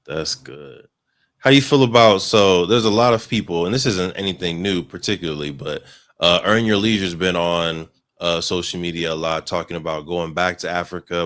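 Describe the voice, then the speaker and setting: A man who is a guest educator, asking questions and listening with steady affirmations. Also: low-pitched. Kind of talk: casual interview talk.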